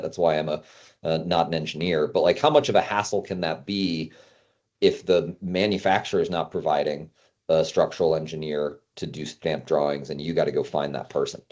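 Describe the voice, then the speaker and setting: A man's voice: extremely low pitch, a little mellow, muffled recording. A man hosting a casual interview, talking informally and asking long, rambling questions. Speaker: podcast host, climbing consultant.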